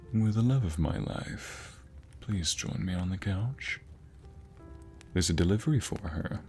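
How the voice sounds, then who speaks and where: A man playing an adoring, flirty husband in an intimate ASMR romance roleplay, murmuring tenderly in a breathy, gravelly voice that often drops to a whisper. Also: on the low side, full character voice. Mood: warm, pleased. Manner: tender, slow, sighing delivery.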